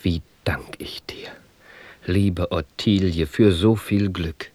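Low, unhurried devoted young count. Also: male voice, fairly even intonation, a little mellow, dry recording. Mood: tender, content. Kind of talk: rhymed radio play acting.

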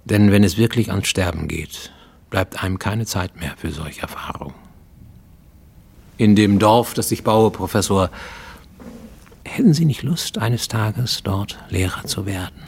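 An actor playing a fugitive healer and visionary dreamer in a radio play monologue, speaking intimately and deliberately. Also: male voice, on the low side, creaky, a little mellow, husky, heavily acted. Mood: reflective, persuasive. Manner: intimate, deliberate, addressing a listener, dropping to whispers. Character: fugitive Japanese man in Mexico, makeshift healer and tooth-puller, visionary dreamer. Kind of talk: German radio drama monologue.

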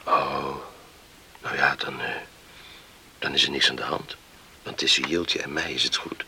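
An actor playing a loyal young farmhand in a radio play, earnest, hesitant and concerned. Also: male voice, very deep voice, steady tone, measured pace, bright, forceful tone, a little hiss or hum, full character voice. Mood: hushed concern.

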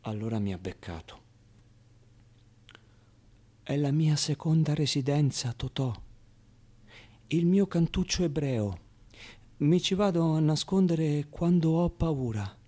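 A man voicing an old, heavy, fearful foster mother in a radio play, tender and weary, with slow, deliberate phrasing. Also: fairly high pitch, lively intonation, mellow. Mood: secretive.

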